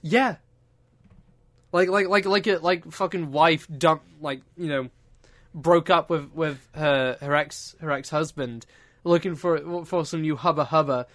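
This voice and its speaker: A man's voice: on the high side, fairly even intonation, measured pace, fairly bright tone. A man hosting a casual podcast, rambling and joking and often restarting his sentences. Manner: rambling, self-interrupting, joking.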